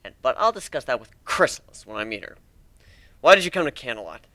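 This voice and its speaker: A man's voice: mid-range voice, sing-song, fast talker, sharp tone, no room sound. A man voicing a cocky, menacing male griffin warrior, taunting and sarcastic, in a dramatic fantasy story reading.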